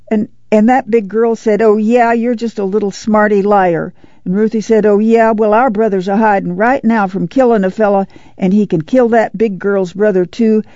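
A woman's voice: medium pitch, vocal fry, dark tone, no echo, muffled recording. A woman voicing an eager, anxious little farm boy in a storybook, rambling breathlessly. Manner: breathless, rambling rural dialect.

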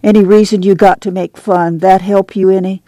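A man voicing a weary, proud older farm mother in an audiobook, speaking softly with a drawl. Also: high voice, flat delivery, normal speed, no echo, voice pushed up, natural voice. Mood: gently probing. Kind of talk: audiobook character voice.

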